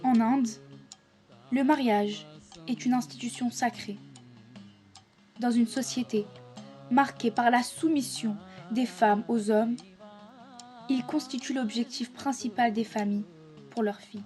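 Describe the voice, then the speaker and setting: A woman's voice: fairly high pitch, steady tone, a little slow, pauses often, a little mellow, faint music behind. A woman narrating formal, informative documentary material from a script.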